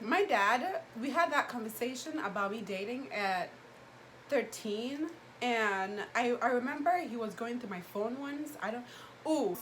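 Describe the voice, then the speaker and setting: A young woman from Ghana hosting a vlog, chatting casually and telling stories in a slangy, animated way. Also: a little high, a little hiss or hum, poor audio. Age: young adult. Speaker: vlog host asking questions. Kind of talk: casual chat with friends, storytelling.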